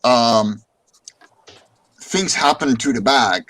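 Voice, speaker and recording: A man's voice: medium pitch, slow pace, a bit bright, rough recording. A man chatting casually as a streamer and comic artist, who often repeats words as he gets a thought going. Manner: rambling, repeats words when starting a thought. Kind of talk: casual chat.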